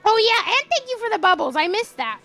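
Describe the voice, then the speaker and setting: A young woman streaming live, chatting casually with a hoarse voice and telling an animated story full of laughs. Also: very high-pitched, brisk, faint music behind. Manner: animated storytelling, laughs often, acts out quotes.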